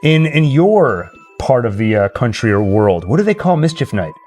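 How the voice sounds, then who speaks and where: A man's voice: medium pitch, sing-song, moderate pace, darkish tone. Joking man hosting, music behind. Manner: joking, chatty. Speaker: live stream host. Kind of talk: casual live stream chat. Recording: spooky ambient music playing behind. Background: ambient Halloween music.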